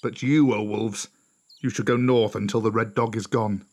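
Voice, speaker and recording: A man's voice: lowish voice, steady tone, normal speed, lightly acted. Grim, wounded male lone wolf for a storybook tale, voiced by a man; weary and vengeful. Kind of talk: children's storybook character voice.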